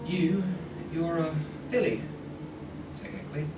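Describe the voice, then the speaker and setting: A man playing a gentle, eccentric time traveller in a fan radio play, stammering, whimsical and reassuring. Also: a little high, steady tone, unhurried, close to an everyday voice. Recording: roomy, distant, music behind.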